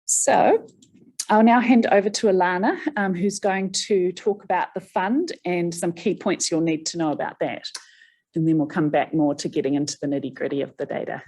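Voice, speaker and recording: A woman presenting a research study at a workshop, steady and explanatory with occasional ums. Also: lowish voice, moderate pace, slightly rough. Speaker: research study team member.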